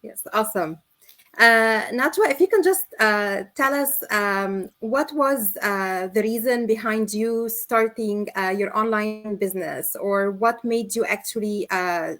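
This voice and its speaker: A woman's voice: medium pitch, unhurried, a bit bright. Encouraging woman hosting an interview. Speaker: host. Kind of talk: casual interview.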